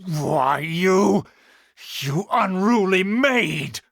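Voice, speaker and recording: An actor playing a haughty, indignant older aristocratic uncle in a video game, speaking in a formal, imperious and pompous manner. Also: male voice, high voice, lively intonation, drawn-out words, vocal fry, fairly bright tone, dry recording, exaggerated voice. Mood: outraged. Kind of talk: dramatic video game voice acting.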